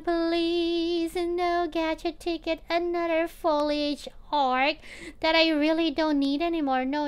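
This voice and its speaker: A woman with a high, light voice chats through her game session as she records it at home. She thinks out loud, drops many filler sounds, and complains in a playful, whiny way to her viewers. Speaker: gaming channel host. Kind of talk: casual game commentary. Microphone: tablet or phone mic.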